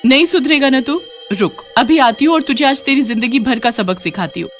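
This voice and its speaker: A woman's voice: high voice, brisk, faint music behind, voice pushed up, natural voice. A woman voicing a feisty, furious young villager in a horror story, threatening and fiery. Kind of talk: Hindi animated horror story acting.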